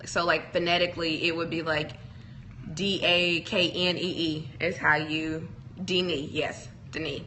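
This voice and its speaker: A woman's voice: low-pitched, little pitch movement, a little slow, pushed and bright, slight background noise, low-quality recording. A young woman co-hosting a casual couple's chat show, rambling and playful with frequent giggles. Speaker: podcast co-host.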